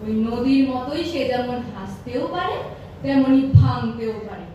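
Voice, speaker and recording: A woman's voice: a little high, measured pace, mellow, muffled recording, slight background noise, lightly acted. A woman acting a joyful, fearless, devoted young woman in a poetic Bengali stage audio drama. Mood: joyful, expectant. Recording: echoey hall, distant mic, music behind.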